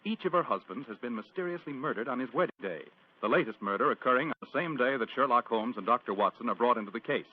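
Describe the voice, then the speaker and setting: A man's voice: mid-range voice, animated, fast. A man announcing and narrating an old-time radio drama in a scripted style. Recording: dull, noisy old radio recording.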